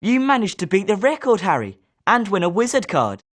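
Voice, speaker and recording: A woman's voice: medium pitch, big pitch swings, fairly quick. A woman with a British accent voicing a confident, sporting schoolboy wizard with a competitive streak in a video game. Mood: congratulatory. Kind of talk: scripted video game character lines.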